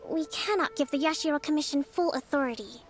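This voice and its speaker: A woman voicing a young female island leader in a video game, speaking in a measured, diplomatic and firm way. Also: high-pitched, lightly acted. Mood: composed.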